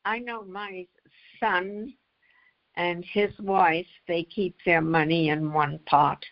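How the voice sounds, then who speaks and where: An older woman speaks very slowly in a conversational interview. Her voice sits a little low, and she often halts mid-phrase before carrying on. The audio is slightly dull and lo-fi. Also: fairly even intonation. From United States. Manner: halting, pausing mid-phrase. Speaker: author, interview guest.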